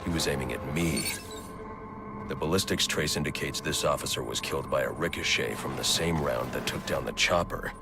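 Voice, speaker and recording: An actor playing a grim, brooding vigilante detective in a video game, terse and deadpan with a gravelly voice. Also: male voice, rumbling low, a little fast. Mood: grim, analytical. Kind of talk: video game voice acting, investigative monologue. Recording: loud music underneath.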